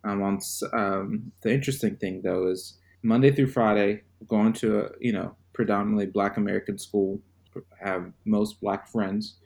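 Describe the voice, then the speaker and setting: Podcast guest from Zimbabwe: low-pitched man, unhurried, reflective storyteller. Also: steady tone, gravelly, darkish tone. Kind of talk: personal storytelling.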